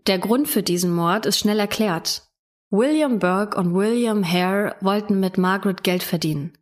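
A female true crime podcast co-host who talks in a casual, chatty way and laughs easily. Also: mid-range voice.

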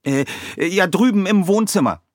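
A man's voice: high voice, expressive, moderate pace, no echo, studio quality, pitched above the performer's own voice. A man voicing a stressed, irritated woman with a flustered manner for an audio story. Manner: flustered, hesitant interjections, sighing. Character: Julia Scott, stressed, irritated adult woman running a house sale.

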